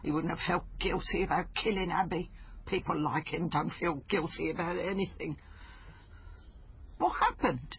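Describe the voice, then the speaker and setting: A woman's voice: lowish voice, normal speed, natural voice. An actress playing a grieving, bitter middle-aged mother in a naturalistic radio drama. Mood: distressed, accusing. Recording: dull recording, faint background noise.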